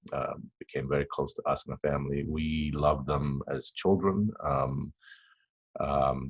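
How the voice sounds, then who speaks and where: A man in his fifties, originally from Tanzania, speaking as a podcast guest in a halting, reflective way with many fillers and restarts. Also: a bass voice, husky. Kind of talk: casual interview chat.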